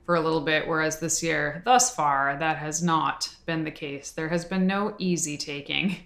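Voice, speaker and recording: A woman co-hosting a podcast, talking in a casual, chatty way with quick asides. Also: sits low, steady tone, creaky, fairly bright tone. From Boston area. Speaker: podcast co-host.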